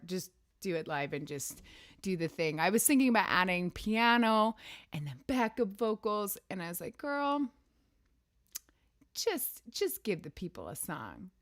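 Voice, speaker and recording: Chatty raspy woman, lively pitch. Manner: chatty, rambling, laughs often. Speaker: singer and weekly show host. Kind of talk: casual livestream chat. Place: indoor room with a piano.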